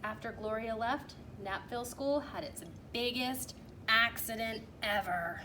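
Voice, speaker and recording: A woman reading a children's storybook aloud in an animated, emphatic way, drawing out key phrases. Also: on the high side, a little slow. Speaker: teacher reading a picture book aloud. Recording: clearly noisy background.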